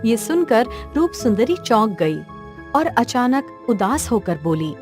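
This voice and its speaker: A woman's voice: a little high, very animated, takes it slowly, darkish tone. A woman reading scripted narration for a cartoon fairy tale as the storyteller. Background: music.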